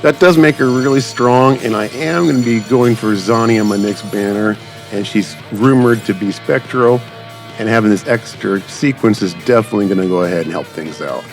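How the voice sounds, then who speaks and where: A low-voiced man talks through his gameplay in a casual, rambling way, like a streamer addressing viewers. His speech is wordy and informal, with music playing under his voice. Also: moderate pace. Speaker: gaming YouTuber. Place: voice-over on game footage.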